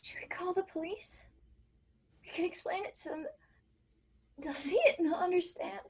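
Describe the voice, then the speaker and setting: A woman plays a worried, innocent girl of twelve in a screenplay read. The voice is high, with a fretful and hesitant manner. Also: steady tone, moderate pace. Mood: shaken, hushed. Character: anxious twelve-year-old innocent girl.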